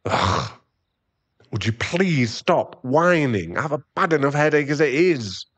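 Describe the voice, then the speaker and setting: A man's voice: on the high side, lively intonation, measured pace, a bit bright. A man playing an irritable, defensive, hard-drinking werewolf boyfriend and expectant father in an ASMR roleplay audio drama, given to sighs and snappish remarks. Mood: irritated, snapping.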